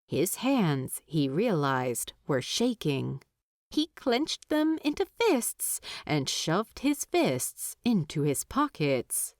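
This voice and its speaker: A woman narrates fiction at a slow, deliberate pace. Her medium-pitched voice rises and falls expressively, and the recording is dry with no room sound. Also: sing-song, no room sound. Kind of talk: expressive scripted story narration.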